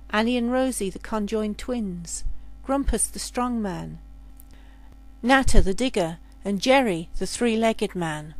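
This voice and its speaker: A woman reads a scripted sci-fi adventure as its storyteller. Her voice sits a little higher than most women's, and she delivers it as book narration. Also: warm tone, poor audio.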